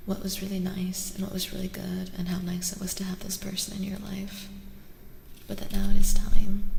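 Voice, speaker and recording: A woman streaming soft-spoken ASMR tarot readings to a live chat, gentle, reassuring and breathy, often slipping into whispers. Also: medium pitch. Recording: roomy reverberant sound with faint noise.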